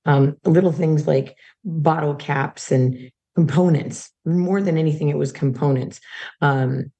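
A hoarse woman in her thirties or forties, a guest chatting in a rambling way about her work in sales. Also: deep voice, a little slow, dark tone, lo-fi sound. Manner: chatty, rambling, many restarts. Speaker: guest, supplement company sales rep. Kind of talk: casual interview chat.

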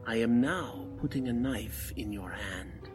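An actor playing a crooked cult leader and fake medium in a 1940s-style radio drama, formal and theatrical in manner. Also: male voice, medium pitch, a little slow, music in the background, close to an everyday voice. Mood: hypnotic, menacing. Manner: formal, theatrical, commanding or pleading.

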